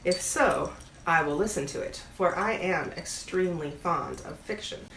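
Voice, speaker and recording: A woman's voice: fairly low pitch, normal speed, fairly bright tone. A woman reading the part of a pompous, self-centred old male water rat in a storybook, haughty and declaiming. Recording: roomy echo, audible background noise.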